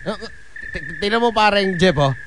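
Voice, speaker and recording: A man's voice: high voice, expressive, normal speed, over a noisy crowd. A man with a Filipino accent playing a boastful, tipsy, flirtatious womanizer in a comedic radio drama, swaggering and playful. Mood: boastful, flirty. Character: boastful, tipsy, flirtatious male womanizer among drinking buddies. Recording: very noisy radio drama recording.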